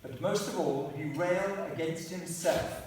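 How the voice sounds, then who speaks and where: A man's voice: on the high side, unhurried, darkish tone. A man directing and narrating a radio play, flustered and exasperated. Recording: echoey hall from far off, noise.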